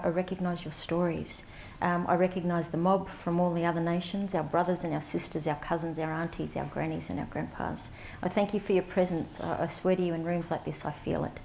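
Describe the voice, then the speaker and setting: Middle-aged woman from Central Australia, soft and low, reflective storyteller, stage mic with faint room hiss. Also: creaky, low-quality recording. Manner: warm, reflective, halting with fillers and restarts. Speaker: panelist, CEO of an advocacy organisation. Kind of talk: storytelling conference talk. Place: conference stage before a large audience, indoors.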